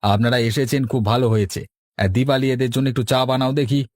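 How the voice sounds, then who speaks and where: A man's voice: mid-range voice, steady tone, brisk, a little mellow, no echo, clean studio sound, close to an everyday voice. A man playing friendly, folksy village men and fathers in a cartoon, speaking in an avuncular way. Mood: welcoming. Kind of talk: animated cartoon dialogue.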